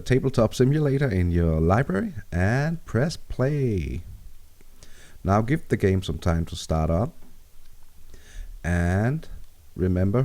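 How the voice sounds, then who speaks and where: A man from Denmark with a Danish accent, calmly explaining a software tutorial step by step. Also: low voice. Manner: calm, steady step-by-step explaining. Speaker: tutorial maker. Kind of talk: screen-recorded software tutorial.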